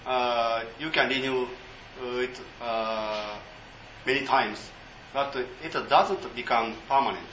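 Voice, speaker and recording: Guy explaining stuff at a meeting, lots of uh, bright voice, echoey dull room. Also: medium pitch, steady tone, slight background noise. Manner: halting, many fillers, non-native English. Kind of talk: informal seminar talk with questions.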